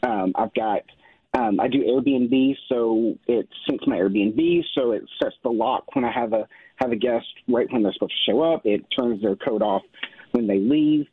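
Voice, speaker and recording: A man calling a radio show by phone, casually explaining a problem in a halting way. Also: mid-range voice, husky, no room sound. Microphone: telephone. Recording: narrow muffled phone line.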